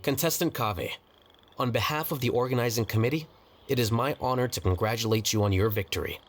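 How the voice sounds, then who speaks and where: An actor playing a cool, blunt scribe in a video game, speaking in a measured, dry, formal way. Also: male voice, mid-range voice, soft background music, natural voice. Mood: dryly critical. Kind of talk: English video game voice acting.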